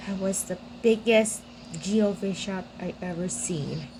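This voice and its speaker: A woman's voice: medium pitch, a little slow, noisy background. A woman giving casual gameplay commentary as a video host, in brief reactive remarks. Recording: loud game music behind.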